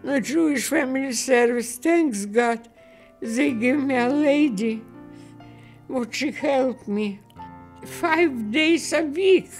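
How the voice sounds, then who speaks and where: A woman's voice: sits high, takes it slowly. A woman, speaking in simple broken English in a heartfelt personal interview. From former Soviet Union. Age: 80s. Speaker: elderly client of a family service. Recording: soft music underneath.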